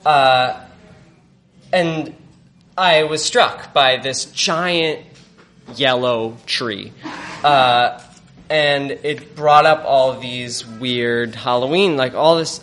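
Halting, reflective man sharing a memory. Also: mid-range voice, fairly bright tone. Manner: halting, reflective, many fillers and restarts. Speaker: storyteller at a live event. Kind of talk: personal storytelling to a live audience.

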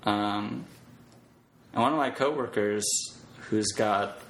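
Low-voiced man in an echoey room. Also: slow, fairly bright tone. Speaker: podcast guest, web developer. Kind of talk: casual interview chat. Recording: roomy echo with background noise.

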